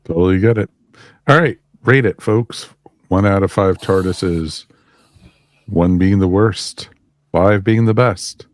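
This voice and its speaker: A man's voice: deep voice, takes it slowly, pauses often, dry recording, clean studio sound. A man hosting a casual podcast review chat, leading the discussion with brief, wry interjections. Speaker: podcast host.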